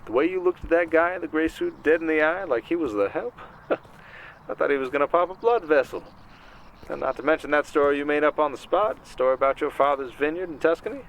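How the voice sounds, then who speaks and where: Man acting a slick, higher-voiced charming conman. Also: expressive, brisk, gravelly, a bit bright, slight background noise, poor audio. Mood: breezy, cocky. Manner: slick, suave, old-fashioned wiseguy endearments. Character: smooth, cocky male Vegas conman, charming and flirtatious. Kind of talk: ASMR roleplay acting, intimate monologue.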